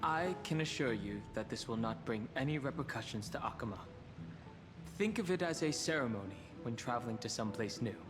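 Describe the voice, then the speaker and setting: A man acts a young game adventurer on a mission, calm and earnest. He speaks politely and matter-of-factly in a mid-pitched voice, with a long reverberant tail and music clearly behind him. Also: fairly quick, close to an everyday voice. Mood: polite, reassuring. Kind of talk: video game voice acting.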